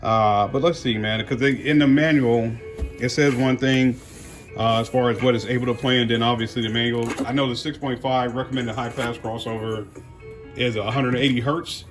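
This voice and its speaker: A man's voice: mid-range voice, fairly even intonation, normal speed, music in the background. An American man giving casual unboxing commentary as a car audio vlogger, talking in a folksy, slangy way.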